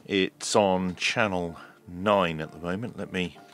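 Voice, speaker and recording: A man giving a hands-on tech demonstration in a measured, hesitant way, as if thinking aloud. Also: low-pitched, a bit bright. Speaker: host of a radio repair video.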